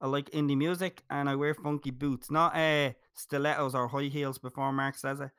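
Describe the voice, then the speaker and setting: A man's voice: medium pitch, level tone, normal speed, fairly bright tone, smooth, no room sound, studio quality. An Irish man talking as a guest in a casual podcast chat, joking and emphatic. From Ireland.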